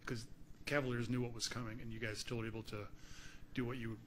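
A man's voice: mid-range voice, little pitch movement, fast talker, a little hiss or hum. A man who works as a newspaper reporter, steadily asking a question at a press conference.

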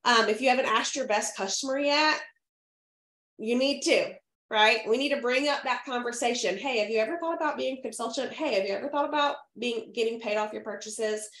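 A woman in her thirties, a little hoarse from a cold, coaching her sales team over a webcam in an upbeat way, often checking in with the listeners. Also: on the high side, fairly bright tone. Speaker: direct sales team leader. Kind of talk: training talk to a sales team. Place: home room, indoors. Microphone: webcam on a video call. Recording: echoey room.

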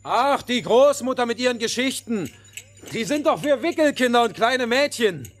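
An actor playing a headstrong young boy in a fairy-tale audio play, with an expressive, youthful manner. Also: very high-pitched, moderate pace, soft background music. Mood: scornful. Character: young boy, headstrong, scornful, easily enchanted.

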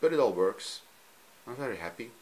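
A man from Belgium, a native Dutch speaker with a Dutch accent, talking off camera in a halting, simple way while he films his TV screen at home. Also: medium pitch, expressive, poor audio. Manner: halting, frequent ums, simple non-native phrasing. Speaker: hobbyist youtuber. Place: room at home, filming a TV screen.

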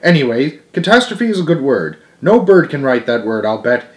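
A young man voicing a stern, brisk female first-grade teacher in a storybook, with emphatic classroom commands. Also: mid-range voice, big pitch swings, natural voice. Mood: musing.

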